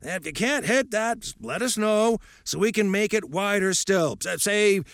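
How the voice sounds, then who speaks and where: A man voicing a gruff, fiery old baseball coach who barks and bellows at a crowd. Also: high-pitched, measured pace, fairly bright tone, dry recording, studio quality, pitched above the performer's own voice, exaggerated voice. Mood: barking. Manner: barking, bellowing.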